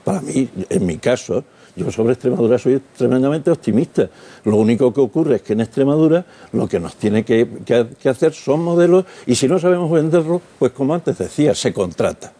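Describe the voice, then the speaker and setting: A man's voice: medium pitch, animated, fairly quick, warm tone. An older man, an advertising guest on a studio panel, speaking emphatically and insistently through a lapel mic. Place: TV studio set.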